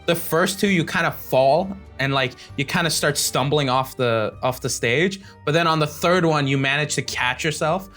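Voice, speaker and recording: A man's voice: a little high, moderate pace, few pauses, fairly bright tone, faint music behind. A man casually narrating an improvised tabletop game as game master, often breaking into laughter.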